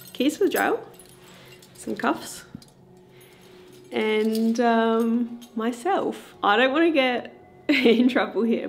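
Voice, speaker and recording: A woman's voice: mid-range voice, gravelly. A young woman in her twenties hosting a paranormal vlog, talking in a chatty, giggly, upbeat way inside a dim old stone prison. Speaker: paranormal vlogger host. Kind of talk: casual vlog presenting.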